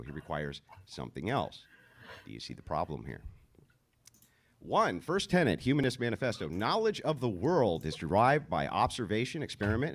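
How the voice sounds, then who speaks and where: Low-voiced man arguing a debate case. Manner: sardonic, emphatic argumentation. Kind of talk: debate speech played back.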